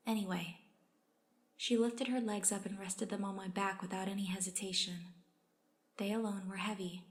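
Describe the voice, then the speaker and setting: A woman's voice: medium pitch, lightly acted. A woman reading aloud a first-person story of a meek, put-upon character.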